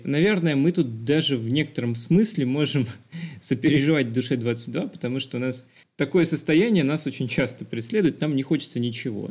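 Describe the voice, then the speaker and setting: A man co-hosting a podcast, discussing film in a conversational, expressive explaining way, often posing rhetorical questions. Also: mid-range voice. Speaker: podcast co-host.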